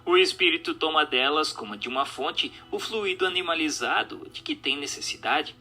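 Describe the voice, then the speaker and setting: Brazilian male narrator, sharp and very bright, highly varied intonation, formal didactic dramatized reading. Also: lively intonation, normal speed, faint music behind. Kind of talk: dramatized reading of a spiritual text.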